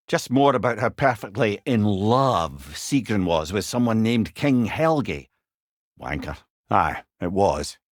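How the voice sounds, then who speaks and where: An actor playing a wise, wry old companion in a video game, speaking with a Scottish accent in a folksy, eloquent way. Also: male voice, fairly low pitch, dry recording, clean studio sound. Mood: bitter, jealous. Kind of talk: video game character dialogue.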